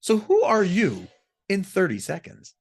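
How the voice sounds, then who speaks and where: A man's voice: high voice, huge pitch swings, a little slow, slightly rough. A man hosting a radio interview show, introducing and questioning his guest.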